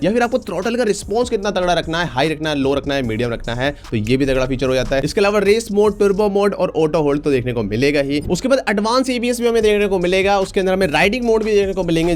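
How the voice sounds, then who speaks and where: Fast-talking Indian man, higher-pitched voice. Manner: colloquial, addresses viewers as buddies. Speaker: tech video host reviewing electric scooters. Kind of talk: informal explainer to camera for viewers.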